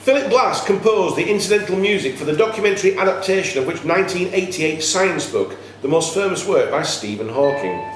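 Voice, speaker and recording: A man's voice: high-pitched, animated, brisk, few pauses. A man acting as quiz master, reading questions aloud to contestants in a live quiz. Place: echoey indoor room at a cricket club. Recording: echoey room, faint background noise.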